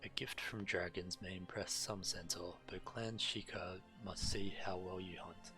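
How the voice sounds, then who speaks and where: A man's voice: deep voice, steady tone, a little slow, pushed and bright. A man streaming a game and reading quest text aloud. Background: game music.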